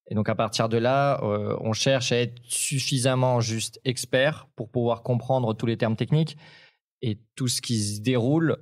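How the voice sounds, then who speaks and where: Young guy from ukraine, ukrainian native, lowish voice, keeps saying euh on a talk panel. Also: darkish tone. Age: young adult. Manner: hesitant, many "euh" fillers. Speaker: tech journalist guest. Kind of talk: panel talk show discussion.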